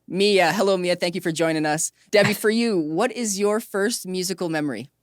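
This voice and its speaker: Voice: medium pitch, fairly quick, no room sound. Chatty interview host, dry close sound. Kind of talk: upbeat interview hosting with scripted introduction.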